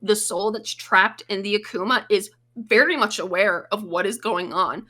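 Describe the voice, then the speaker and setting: A woman's voice: mid-range voice, fairly even intonation, normal speed, bright, forceful tone. A woman who is a guest on a casual podcast, recorded remotely over the internet. She explains things at length and swears casually.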